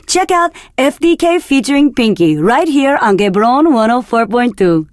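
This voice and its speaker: Flirtatious radio DJ from Argentina for a game: high, breathy, teasing, very dry close sound. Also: female voice, big pitch swings, moderate pace, no echo. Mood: flirty. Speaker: radio DJ host. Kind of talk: scripted radio DJ patter for a video game.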